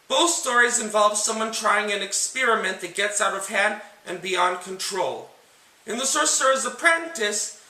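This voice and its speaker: A man narrating a scripted book and film comparison as host, speaking in a deliberate, enunciated, presentational way. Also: high voice, bright, forceful tone. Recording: echoey room sound.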